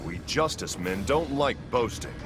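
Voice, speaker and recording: An old-fashioned, cocksure hero played by a man speaks with swinging intonation in a medium register. It is breezy video game dialogue under loud music. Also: mid-range voice, animated, unhurried, natural voice. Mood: scornful. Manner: quaint slang, breezy. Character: jaunty, old-fashioned, cocksure male superhero. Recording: loud music over the speech.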